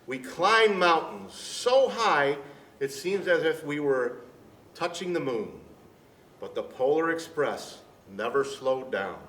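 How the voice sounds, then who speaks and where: A man reading a storybook to children in deliberate, separated phrases. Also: on the high side, lively intonation, a bit bright. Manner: deliberate phrasing with many pauses. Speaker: storybook reader. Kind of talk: scripted storybook narration for children. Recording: echoey room, distant mic, background noise.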